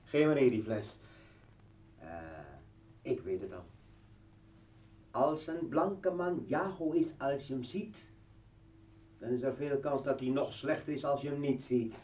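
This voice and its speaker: An actor playing a bitter, drunken revolutionary leader and ex-husband in a radio play, taunting and theatrical. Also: male voice, medium pitch, measured pace, pauses often, a little mellow, distant mic, a little hiss or hum, close to an everyday voice. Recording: dull, roomy old radio recording.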